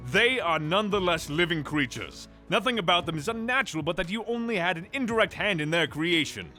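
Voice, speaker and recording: Fantasy audio drama: male actor for proud forge god, emphatic formal diction, lively range, soft music bed. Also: sits high, a little fast. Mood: indignant.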